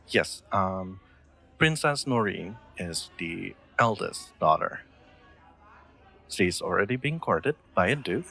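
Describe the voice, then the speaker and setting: A man's voice: deep voice, vocal fry, a bit bright, heavily acted. A man playing an awkward, sweet young royal knight in a roleplay audio drama, speaking formally with hesitant stammers and nervous laughter.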